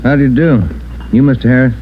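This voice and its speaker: An actor playing a polite, low-key police sergeant, the partner in an old radio drama. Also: male voice, fairly even intonation, quick pace, lightly acted. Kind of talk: 1940s-50s radio drama acting. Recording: tinny, hissy old radio broadcast.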